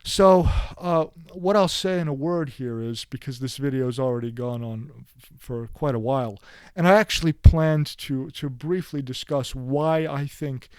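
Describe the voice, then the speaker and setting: A man's voice: medium pitch, normal speed, a little mellow, smooth, no room sound. A man giving opinionated solo video commentary, speaking bluntly and emphatically with frequent asides and restarts. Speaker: political commentator.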